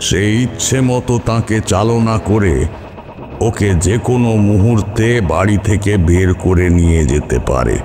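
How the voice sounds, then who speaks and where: An actor playing a solemn older male tantric priest in a horror cartoon, speaking formal, measured counsel. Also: on the low side. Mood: grave warning. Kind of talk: dramatic Bengali horror cartoon voice acting. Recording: long reverb, music behind. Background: music.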